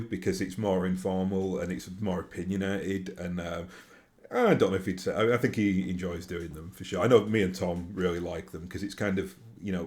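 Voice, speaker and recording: Yorkshire man, very deep voice, rambling casual monologue, lots of ums. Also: darkish tone. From Yorkshire, England. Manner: rambling, with many fillers and restarts. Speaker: YouTube host.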